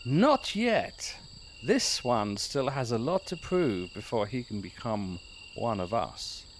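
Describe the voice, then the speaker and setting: An actor playing a pompous small-town mayor who leads a secret lodge, theatrical and commanding but prone to fussiness, in comedy-horror audio drama. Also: male voice, mid-range voice, big pitch swings, takes it slowly, a little hiss or hum. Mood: officious. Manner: theatrical, commanding, then awkwardly fussy.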